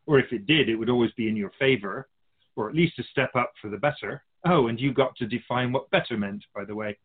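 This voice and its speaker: A man playing an otherworldly, wise spiritual guide in a radio drama, measured and instructive with gentle amusement. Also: medium pitch, lightly acted. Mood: wise, gently encouraging. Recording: slightly muffled, phone-like narrow sound.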